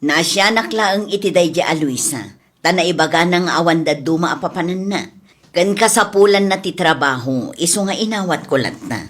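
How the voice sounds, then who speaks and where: A composed married lady of the house acted by a woman in an audio drama. Her voice is lowish and husky, and she speaks with measured, firm authority. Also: natural voice. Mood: composed, resolved. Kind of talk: domestic audio drama acting.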